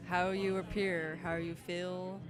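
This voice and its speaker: A woman's voice: fairly low pitch, level tone, takes it slowly. A woman giving short, reflective interview answers in simple declarative statements.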